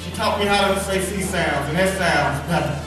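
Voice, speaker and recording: Guy in his 30s giving a pep talk to students, slight lisp, pretty high voice, heard from far off in a big echoey hall. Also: moderate pace, over music. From Birmingham, Alabama. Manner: earnest, repeats key phrases for emphasis. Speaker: motivational speaker. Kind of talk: motivational speech to students. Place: large echoey school event hall.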